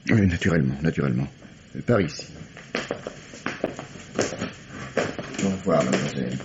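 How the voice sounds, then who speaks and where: An actor playing a weary, wry police commissioner in a radio mystery, questioning tersely and often repeating himself. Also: male voice, a bass voice, racing. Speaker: actor in a radio crime drama. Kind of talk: French radio mystery acting. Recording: muffled, noisy old radio recording.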